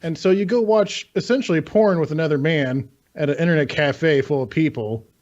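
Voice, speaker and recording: A man's voice: a little high, a little fast, a little mellow. Rambling, profane man, slightly muffled sound. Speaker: podcast co-host. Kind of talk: casual video game chat.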